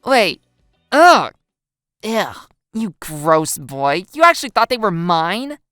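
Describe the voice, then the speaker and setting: Woman acting a high, giggly, teasing teenage schoolgirl. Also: very animated, slow, a bit bright, no echo, studio quality, faint music behind. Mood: playful disgust. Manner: giggly, gasping, breaks into laughter. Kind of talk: dramatized audio story dub.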